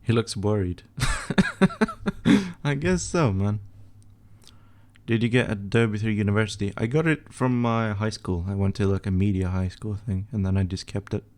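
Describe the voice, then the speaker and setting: A young man from Sweden, his voice a bit low, chats offhandedly on a livestream while working at his computer. He mumbles, trails off mid-thought and swears quietly under his breath. Keyboard typing and mouse clicks come through behind him. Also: normal speed. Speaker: livestream host answering chat questions. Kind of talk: casual livestream chat while editing images.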